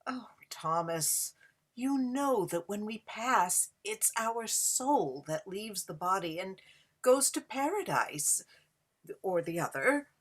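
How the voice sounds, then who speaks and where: A woman playing a doting, doubtful and pious elderly wife, gently fussing in a play reading. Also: mid-range voice, lively intonation, takes it slowly. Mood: gentle. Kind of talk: acted dialogue in a play reading.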